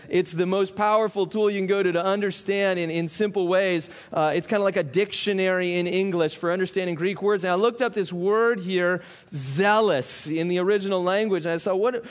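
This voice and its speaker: A man with a fairly high voice gives a Sunday sermon. He reads scripture in a slow, measured way, then moves into relaxed, conversational teaching with asides to the congregation. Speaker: pastor.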